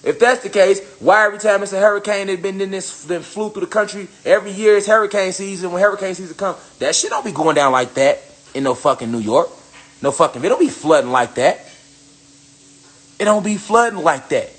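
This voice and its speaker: Young male spiritual commentator, slightly high voice, loose profane preachy rant. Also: a little fast, a bit bright. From United States. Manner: profane, preachy, emphatic repetition. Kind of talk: casual rant to camera.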